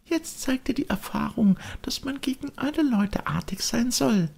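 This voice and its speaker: A man voicing a tiny, gentle but reproachful talking cricket in a storybook, with a thin, delicate voice. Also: very high voice, lively intonation, moderate pace, gravelly, husky, voice pushed up, heavily acted. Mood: instructive.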